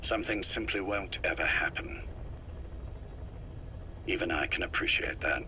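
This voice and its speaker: An actor playing a grave, shrewd intelligence operative in a video game, in a covert briefing. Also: male voice, deep voice, unhurried, bright, forceful tone, slight background noise, heavily acted, rough recording. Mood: grave resolve.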